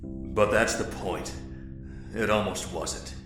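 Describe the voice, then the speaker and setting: Male actor as grave apocalypse survivor, low pitch, measured and formal, radio drama, strong room echo. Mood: anguished. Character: grave, earnest, guarded man in a zombie apocalypse. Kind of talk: audio drama acting. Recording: very echoey room, faint music.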